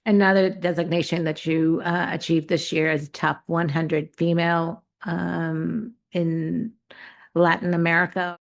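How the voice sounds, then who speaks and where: Lady interviewer, lowish voice, short encouraging bits. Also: level tone, unhurried, gravelly. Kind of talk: interview questions.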